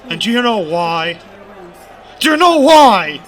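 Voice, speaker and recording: A man's voice: sits high, sing-song, takes it slowly, a bit bright, some chatter behind, a little hiss or hum, heavily acted. A man acting in an audio drama as a bitter, menacing pony rebel leader, theatrical and taunting.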